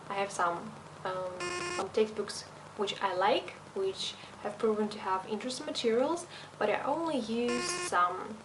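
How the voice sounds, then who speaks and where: A woman's voice: mid-range voice, noisy background. A young woman teaching languages, a non-native speaker of English with a slight European accent, explaining her methods step by step with frequent fillers. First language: not English. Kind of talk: teacher self-introduction video.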